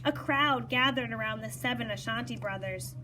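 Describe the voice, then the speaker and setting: A woman reading a children's story aloud in an animated way, acting out dialogue and pausing to explain words. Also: fairly high pitch, slight background noise, low-quality recording. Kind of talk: expressive read-aloud with brief explanations for children.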